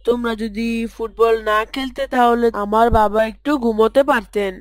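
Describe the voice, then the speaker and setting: A woman's voice: fairly high pitch, fairly even intonation, normal speed, no echo, clean studio sound, lightly acted. A woman voicing a polite, dutiful young boy. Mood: earnest. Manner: earnest, gently preaching. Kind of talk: cartoon voice acting.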